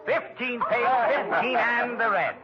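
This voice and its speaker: High-voiced croupier, noisy old radio. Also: male voice, animated, measured pace, lo-fi sound, a loud crowd. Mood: singsong, deferential. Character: excitable, deferential roulette croupier calling numbers. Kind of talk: 1940s radio drama acting. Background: loud casino noise.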